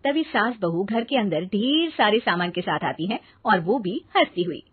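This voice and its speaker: A woman's voice: medium pitch, lively intonation, moderate pace, husky, dry recording, phone-quality sound. A woman reading scripted narration for a story.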